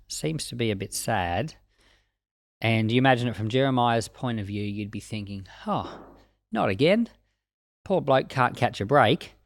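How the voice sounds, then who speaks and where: A man delivers Bible commentary in a conversational, colloquial style. His mid-pitched voice moves up and down in a lively way, and he slips into dramatized asides. The sound is fairly dry and close. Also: no echo. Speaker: Bible teacher.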